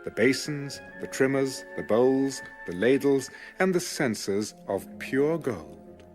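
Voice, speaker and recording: Guy narrating bible audiobook, measured delivery, lively intonation, bit of music behind. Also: medium pitch. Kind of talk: scripted audiobook narration of scripture.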